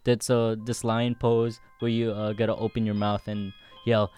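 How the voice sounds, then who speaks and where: A man's voice: on the low side, little pitch movement, normal speed, darkish tone, clear, resonant tone. A young man from the Philippines co-hosting a podcast, bantering casually with a friend in a laid-back, joking, slangy way. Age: young adult. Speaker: podcast co-host. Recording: music in the background.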